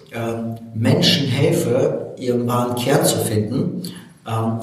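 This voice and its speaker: A man in his forties from Baku, a native Russian speaker, earnestly telling his personal story as a motivational coach in an interview, with frequent hesitations. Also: medium pitch. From Baku, Azerbaijan. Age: 40s. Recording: very echoey room, distant from mic.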